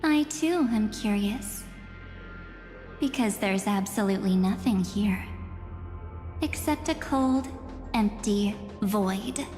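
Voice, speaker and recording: An actress playing an enigmatic, taunting young woman in a video game, speaking deliberately with theatrical condescension. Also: medium pitch, animated, a little mellow. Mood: taunting, cryptic. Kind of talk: dramatic video game voice acting. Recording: echoey reverberant hall, music behind.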